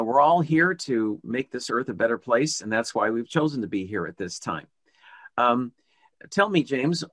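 A man hosting an interview, speaking in a calm, earnest, soft-spoken way. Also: medium pitch, no echo. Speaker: host.

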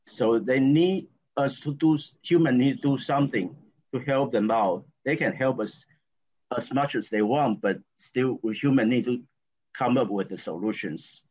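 A man's voice: medium pitch, a little mellow, slightly rough. Taiwanese-accented guy, stop-start rambling, kinda muffled lo-fi sound. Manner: halting, rambling, many fillers and restarts. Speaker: guest. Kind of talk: casual interview talk. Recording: dull lo-fi audio.

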